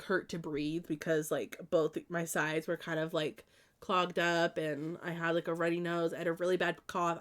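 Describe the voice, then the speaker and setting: A young woman from the Midwest, stuffed up with allergies, chatting casually with viewers on a live stream and rambling with lots of ums and likes. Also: fairly low pitch. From American Midwest.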